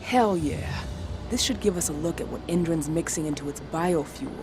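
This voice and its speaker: An actor playing a supportive, weary rebel strategist in a video game, with an informal, measured way of explaining. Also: male voice, fairly high pitch, background noise, lightly acted. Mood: pleased. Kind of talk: video game cutscene acting.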